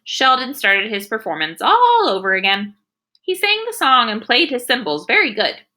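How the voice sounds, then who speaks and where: A woman's voice: a little high, huge pitch swings, moderate pace, bright, forceful tone, heavily acted. A woman reading as a spirited, quirky young schoolgirl who tells her story with childlike, emphatic enthusiasm. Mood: excited.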